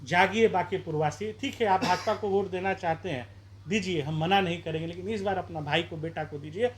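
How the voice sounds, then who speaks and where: A man from Bihar, an election candidate, arguing forcefully in a street interview with rhetorical questions and campaign appeals. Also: high voice, a little fast, a little hiss or hum. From Bihar, India.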